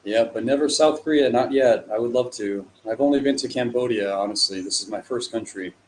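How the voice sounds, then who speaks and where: A man's voice: mid-range voice, fairly quick, a little mellow, poor audio. Young american guy teaching english over video call, chatty n encouraging, bit of background hiss. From USA. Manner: friendly, encouraging, chatty with fillers. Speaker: English tutor. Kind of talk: casual online lesson chat.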